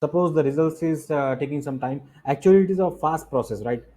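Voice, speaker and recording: A man's voice: a little high, brisk, warm tone. A man with an Indian accent explaining slides as a coaching instructor, repeating key points and asking rhetorical questions. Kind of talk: explanatory lecture over slides.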